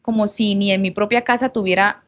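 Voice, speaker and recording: A woman's voice: mid-range voice, steady tone, a little fast. Conversational woman telling a storytime. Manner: conversational, with asides to viewers. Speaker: content creator reading followers' stories. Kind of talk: storytime narration, reading a follower's first-person story.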